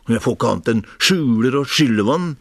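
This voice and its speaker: A man's voice: mid-range voice, lively intonation, creaky, dry recording, studio quality. A man voicing a simple, grumbling pig in a folk tale, plaintive and mumbling. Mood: grumbling complaint. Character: grumbling, simple-minded male pig, easily led.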